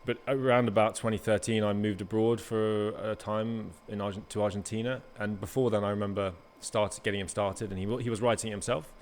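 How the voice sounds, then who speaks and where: Man, interviewer, lowish pitch, halting delivery, faint background noise. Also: steady tone. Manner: halting, with many restarts and trailing phrases. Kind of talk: casual interview chat.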